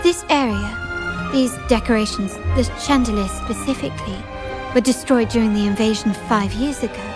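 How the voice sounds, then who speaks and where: A female actor plays a poised young noblewoman in a game. Her voice is fairly high, cool and composed as she thinks aloud analytically, with music clearly behind her. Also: moderate pace, lightly acted. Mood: puzzled. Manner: composed, musing to herself. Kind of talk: video game voice acting.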